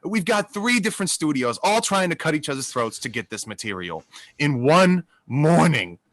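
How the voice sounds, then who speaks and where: A man's voice: on the high side, big pitch swings, moderate pace, a bit bright, no room sound. Man playing a swooping, coaxing Hollywood producer. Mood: hard selling. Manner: salesmanlike, coaxing. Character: smooth, persuasive Hollywood producer, genial and pushy. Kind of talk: dramatic play reading.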